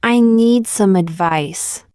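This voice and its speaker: A woman reading short practice sentences aloud for learners, saying each one twice, slowly and carefully. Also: mid-range voice, warm tone, clear, resonant tone, dry recording, clean studio sound. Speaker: English teacher.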